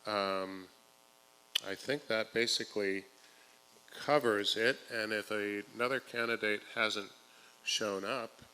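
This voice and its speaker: A man's voice: on the low side, fairly even intonation, slow pace, bright, forceful tone, smooth. A man addressing a public forum as its host, speaking in a large echoing hall. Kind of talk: public forum address, partly read from a letter.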